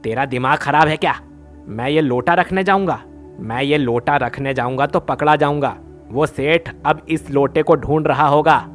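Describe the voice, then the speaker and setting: A man's voice: on the high side, moderate pace, faint music behind, natural voice. A man playing a greedy village thief in a devotional story, brash, colloquial and grumbling. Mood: grumbling, defensive.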